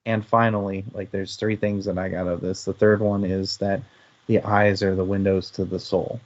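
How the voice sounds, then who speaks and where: A man's voice: sits low, flat delivery, moderate pace, lo-fi sound. A man talking casually and thoughtfully as a panelist in a book discussion, analysing as he goes.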